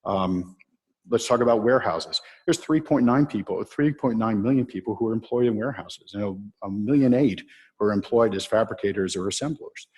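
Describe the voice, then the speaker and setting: Husky-voiced man presenting in a hall. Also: lowish voice, a little fast. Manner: steady explaining, with frequent uh fillers. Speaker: presenter showing video clips. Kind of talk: public talk on automation. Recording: reverberant hall.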